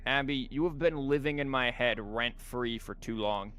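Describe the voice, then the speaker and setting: A man's voice: mid-range voice, normal speed, sharp tone, clear, resonant tone. A man giving casual, rambling and wry commentary as he livestreams a video game. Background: game music.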